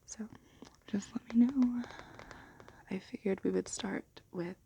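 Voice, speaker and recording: A woman speaking in a gentle, unhurried, hushed and breathy ASMR style, soft-spoken and half whispered, as for bedtime stories. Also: mid-range voice, fairly even intonation, a little hiss or hum. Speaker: ASMR video host and storybook reader.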